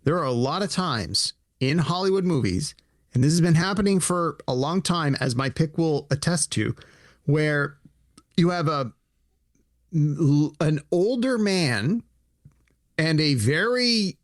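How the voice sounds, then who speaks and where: A man co-hosting a podcast in casual chat, animated, acting out imagined dialogue as he talks. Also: a little high, slow, no room sound, studio quality. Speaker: podcast co-host.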